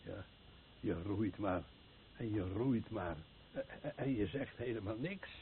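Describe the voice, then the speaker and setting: An actor playing an exhausted, fearful survivor, a fisherman, speaking haltingly and repeating words in a radio play. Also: fairly low pitch. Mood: weary, pleading. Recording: muffled, noisy old radio play recording.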